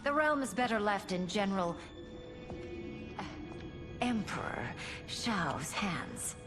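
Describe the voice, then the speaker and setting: Young warrior princess, mid-pitched, proud and cunning, game drama over very loud score. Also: female voice, lively intonation, slow pace. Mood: sly, composed. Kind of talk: dramatic video game cutscene acting. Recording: loud music behind the voice.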